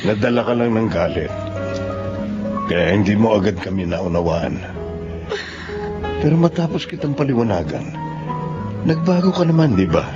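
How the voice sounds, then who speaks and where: Steadfast fiancé male actor. Radio drama, fairly deep, dull lo-fi recording. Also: lively intonation, moderate pace, pauses often, natural voice. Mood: reassuring. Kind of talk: Filipino radio drama acting. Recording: dull lo-fi audio under loud music.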